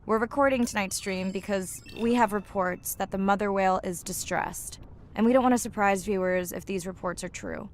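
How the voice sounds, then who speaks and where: A woman's voice: mid-range voice, normal speed, slight background noise, natural voice. An actress playing an earnest female ocean scientist who narrates a livestream in a video game. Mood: composed, concerned.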